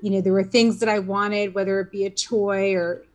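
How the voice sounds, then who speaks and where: A woman's voice: medium pitch, level tone, moderate pace. A woman in her fifties, an interview guest recalling her youth in a casual, rambling way full of "you know" and "um". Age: 50s. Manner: rambling, many fillers and restarts.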